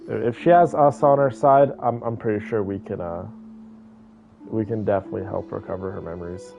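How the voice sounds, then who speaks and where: A man's voice: on the low side, a little fast, vocal fry, phone-quality sound. A man giving casual, rambling commentary on a video game playthrough, often hesitating as he reacts to it. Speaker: gaming streamer. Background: game music.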